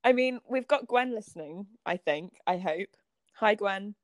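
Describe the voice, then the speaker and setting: A young woman co-hosting a podcast, bubbly and quick in her banter, laughing often. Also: mid-range voice, lively intonation, dry recording. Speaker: podcast co-host. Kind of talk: casual podcast chat.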